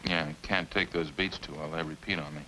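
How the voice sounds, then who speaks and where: A low but forceful-toned police sidekick in old radio drama. He is plain, practical and brief, heard on a noisy vintage recording. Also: steady tone, a little fast. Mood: mild, casual. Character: plain-spoken male police detective sidekick, mild and practical.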